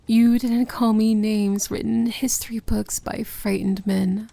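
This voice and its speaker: A woman playing a feared, possessive and tender villain princess in an intimate ASMR fantasy romance roleplay, speaking deliberately in a breathy voice that often sinks into a whisper. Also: mid-range voice, steady tone, warm tone, dry recording. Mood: possessive, commanding. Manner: slow, deliberate, commanding yet tender.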